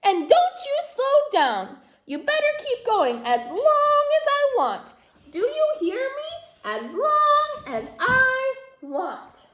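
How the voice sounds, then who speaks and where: A spoiled little doll barks orders in a shrill, squealing voice that leaps high and swings wildly, with a woman acting it out. She sounds bossy and demanding. The room around her rings with echo. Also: wildly swooping pitch, slow, a bit bright, exaggerated voice. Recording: echoey room.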